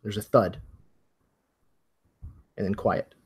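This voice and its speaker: A man working as game master, narrating a tabletop mystery in a measured, atmospheric way. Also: fairly low pitch, steady tone, darkish tone, husky.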